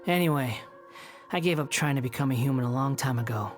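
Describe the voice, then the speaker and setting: A proud, bitter young man, a former villain, in a low voice. The delivery is dry, sardonic and aloof, scripted for a video game, with music underneath. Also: quick pace. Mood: brooding.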